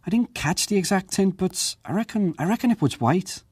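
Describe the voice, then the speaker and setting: A man acting as a working ship's crewman giving testimony at an inquiry, plain-spoken, measured and deferential, in a dramatized reading. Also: sits high, animated, darkish tone, slightly rough, no room sound, clean studio sound. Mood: deferential, careful. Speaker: witness.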